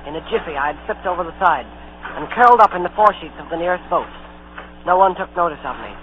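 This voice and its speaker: A man's voice: high voice, lively intonation, quick pace, a little hiss or hum. A man playing an adventurous youth who narrates his tale dramatically in an old scripted radio drama. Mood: grave storytelling. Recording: tinny vintage radio broadcast with music.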